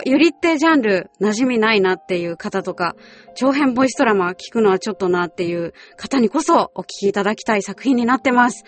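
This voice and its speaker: A woman hosting a radio show, talking politely in a scripted style with chatty, casual asides. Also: fairly high pitch. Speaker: radio show host and voice actress. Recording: music behind the voice. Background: background music.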